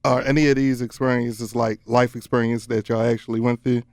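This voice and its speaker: A man's voice: medium pitch, little pitch movement, brisk, a little mellow, dry recording, clean studio sound. A man co-hosting a radio show as a DJ, chatting informally with slang and joking with guests. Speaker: radio DJ and co-host. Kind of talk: casual radio interview chat.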